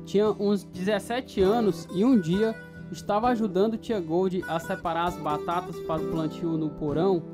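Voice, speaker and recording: A man's voice: high-pitched, fairly even intonation, normal speed, lightly acted. Actor as troubled man narrating over guitar music. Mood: somber, reflective. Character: fearful, troubled man recalling his impulsive youth. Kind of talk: dramatized first-person story narration. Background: plucked guitar music.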